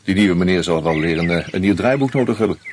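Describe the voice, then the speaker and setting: An actor playing a wry, uneasy screenwriter who narrates a radio play in a measured, reflective way with hesitant fillers. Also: male voice, sits low, lively intonation, a little hiss or hum. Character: wry, uneasy male screenwriter caught up in murders.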